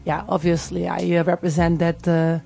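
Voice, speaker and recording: A woman with a Dutch accent and a gravelly edge, talking casually and hesitantly as an interview guest. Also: on the low side, monotone, normal speed, dark tone, faint music behind. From Netherlands, grew up in Tilburg. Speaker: music artist, interview guest. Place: radio studio.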